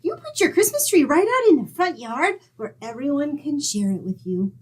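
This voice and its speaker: A woman's voice: high voice, big pitch swings, moderate pace. A woman playing an eager, chatty little neighborhood girl in a radio comedy, with a childlike wheedling way of asking "mister?". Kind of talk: 1940s radio acting.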